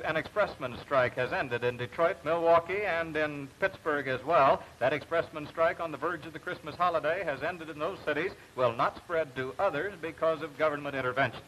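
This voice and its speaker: An American man anchoring a television news report, reading scripted news in a formal, measured 1950s announcer style. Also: a little high, fairly bright tone. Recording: noisy 1950s television broadcast recording.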